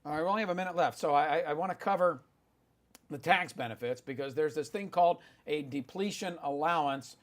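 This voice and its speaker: A semi-retired man hosting a financial talk radio show, pressing his guest with quick questions and time limits. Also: fairly high pitch. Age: older.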